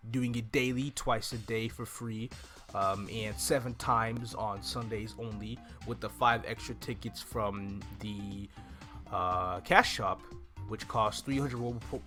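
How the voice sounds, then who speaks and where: A man's voice: medium pitch, a bit bright. Young man casually commentating over game music. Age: young adult. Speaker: gaming video host. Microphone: desk microphone close to the mouth.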